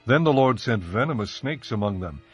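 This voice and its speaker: A man narrating scripture from a script in a solemn, measured way. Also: mid-range voice, lively intonation. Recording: slightly muffled studio track with music.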